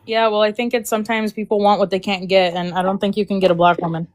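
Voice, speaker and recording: A woman's voice: mid-range voice, little pitch movement, fast talker. A woman guest on a casual livestream chat, frank and rambling, often trailing off mid-thought.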